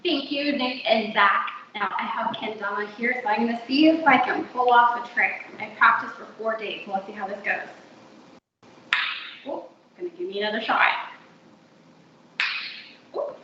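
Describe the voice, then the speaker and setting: Woman mc for an online event, kinda higher voice, dull lo-fi audio w faint hiss. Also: normal speed, pauses often, pushed and bright. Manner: upbeat, light announcing with small reactions. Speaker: ceremony host.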